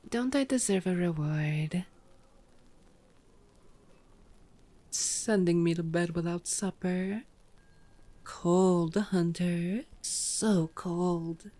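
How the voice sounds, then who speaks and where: A woman's voice: on the low side, takes it slowly, dark tone. A woman voicing a playful, cocky female vampire in flirty, sardonic ASMR roleplay. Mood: playful pleading. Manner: flirty, sardonic, foul-mouthed banter.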